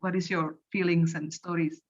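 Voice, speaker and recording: A woman's voice: fairly low pitch, flat delivery, moderate pace. A woman from Indonesia, a native Indonesian speaker, hosting a casual online panel discussion. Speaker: host and curator. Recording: slightly dull lo-fi online audio.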